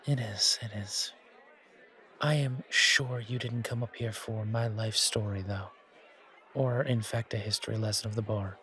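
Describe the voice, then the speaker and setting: A man's voice: on the low side, fairly even intonation, unhurried, bright, forceful tone. A man playing a friendly, reassuring bartender in a casual, naturalistic audio roleplay, with a chatty and slightly hesitant delivery. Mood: easygoing, chatty. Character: friendly, reassuring male bartender at a supernatural bar. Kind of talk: casual, naturalistic one-sided roleplay acting. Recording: crowd chatter behind voice. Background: bar crowd chatter.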